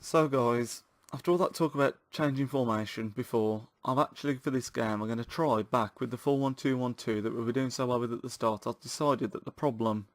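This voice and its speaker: A man with a sore throat, giving excitable, chatty commentary to viewers while playing a football video game. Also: mid-range voice, a little fast, few pauses, no echo, clean studio sound. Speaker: gaming channel host.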